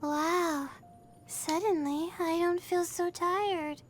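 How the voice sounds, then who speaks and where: A woman voicing a sleepy, soft-spoken young female adventurer in a game. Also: very high voice, steady tone, slow pace. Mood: sleepy wonder.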